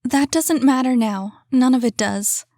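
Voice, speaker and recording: A woman voicing a desperate trapped young woman who urgently pleads and shouts through a door. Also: high voice, unhurried, dry recording, clean studio sound, voice pushed up. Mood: pleading.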